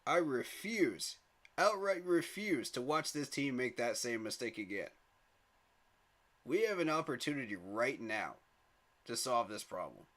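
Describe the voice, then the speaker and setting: A man's voice: medium pitch, sing-song, unhurried, a bit bright. A man hosting a live sports fan stream, talking straight to his chat in an emphatic, pleading way and chuckling at rival fans.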